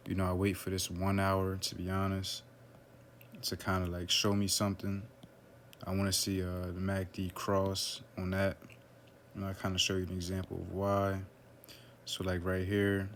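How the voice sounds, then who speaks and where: A man casually talking through a trading chart on screen, relaxed and loose, with lots of "um" and "right?" fillers. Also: moderate pace, slight background noise. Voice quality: low, flat voice. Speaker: forex trader analyzing a chart.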